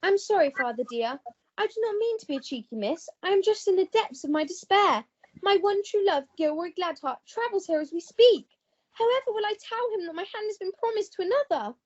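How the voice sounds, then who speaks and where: A woman plays a sweetly innocent young heiress in a radio melodrama. Her voice is extremely high and full of lovelorn drama, on a slightly dull recording. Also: animated, a little fast. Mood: despairing. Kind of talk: amateur melodrama radio play acting.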